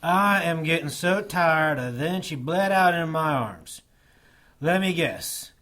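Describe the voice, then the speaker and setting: A man's voice: a little high, animated, takes it slowly, pushed and bright, heavily acted. An actor playing an irritated, mocking, fed-up man in a noir audio drama. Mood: exasperated. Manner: exasperated, mocking.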